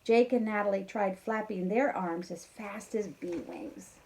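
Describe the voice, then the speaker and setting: A woman reading a children's story aloud in an expressive, encouraging way, talking directly to young listeners with casual asides. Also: mid-range voice, a little slow, a little hiss or hum. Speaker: teacher reading a bedtime story to pupils.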